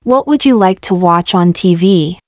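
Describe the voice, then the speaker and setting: A woman's voice: lowish voice, lively intonation, a little mellow, no room sound. Woman reading lesson questions, dry close. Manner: clear, measured question reading. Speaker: language lesson reader. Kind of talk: slow repeated example questions for learners.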